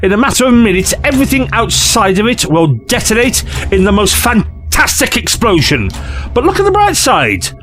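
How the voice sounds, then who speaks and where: A man's voice: high voice, huge pitch swings, normal speed, a bit bright, soft background music, full character voice. A man playing a glib, eccentric alien time traveller in an audio drama, flippant, theatrical and condescending. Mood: flippant, urgent.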